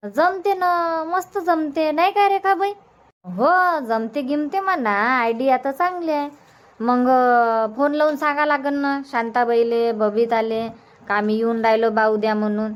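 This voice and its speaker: A woman's voice: high-pitched, a little slow. A woman voicing a chatty, practical village mother in a cartoon comedy, speaking with a Varhadi Marathi accent in a homely, repetitive way. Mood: agreeable, planning. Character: chatty, practical village mother, middle-aged or older, organising an outing.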